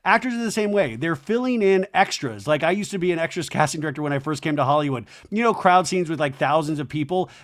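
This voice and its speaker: A man's voice: a little high, animated, fast, few pauses. A man hosting a casual pop culture podcast, talking chattily with frequent restarts and self-corrections. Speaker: podcast host.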